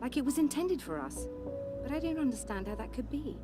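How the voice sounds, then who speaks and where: An actress playing an excited, curious woman scientist in a video game cutscene. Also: high voice, brisk, over music. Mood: intrigued.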